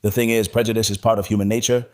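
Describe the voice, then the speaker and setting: Interview guest: man from Morocco, low pitch, blunt, speaks in repeated short phrases. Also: little pitch movement, very fast, darkish tone. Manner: blunt, repeats his points. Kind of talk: casual interview talk.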